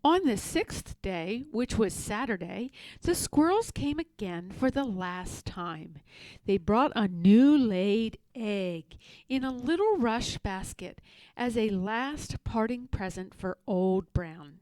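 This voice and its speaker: A woman reading a children's story aloud as an expressive narrator. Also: mid-range voice, slow, no echo. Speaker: storybook reader.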